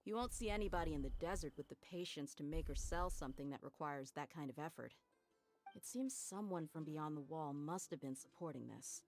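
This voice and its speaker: A woman's voice: deep voice, expressive, brisk, darkish tone, soft background music. An actress playing a confident, easygoing, worldly mercenary woman in a video game, assured and casual. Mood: matter-of-fact. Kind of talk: video game dialogue acting.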